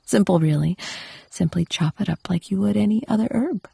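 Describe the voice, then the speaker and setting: Breathy, creaky woman acting a doting yandere wife. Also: medium pitch, brisk, no room sound, clean studio sound, heavily acted. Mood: cheerful, tutoring. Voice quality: breathy, often slipping into whisper. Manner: coaxing, doting, softly instructive. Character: possessive, doting yandere wife training her captive husband. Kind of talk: intimate ASMR roleplay.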